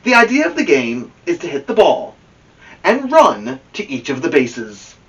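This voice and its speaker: Man voicing high-pitched excitable young ballplayer. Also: measured pace, far from the mic, highs cut off, heavily acted. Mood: explaining. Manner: exclaiming, bossy coaching. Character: excitable young boys on a baseball team.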